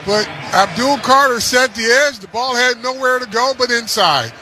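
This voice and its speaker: A man's voice: extremely high pitch, lively intonation, moderate pace. A man giving informal, opinionated football analysis in short remarks as a radio color commentator. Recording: heavy background stadium noise.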